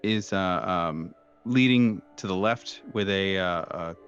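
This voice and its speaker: A man working as game master, improvising descriptive narration for a horror tabletop game in a halting, hesitant way. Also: deep voice, dull audio. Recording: music playing behind the voice. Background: soft background music.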